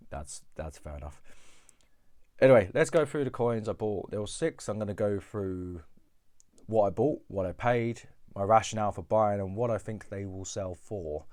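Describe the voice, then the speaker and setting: A man's voice: deep voice, no echo. A coin dealer with a cold, chatting casually to camera about coins he bought, rambling and repeating words. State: has a cold.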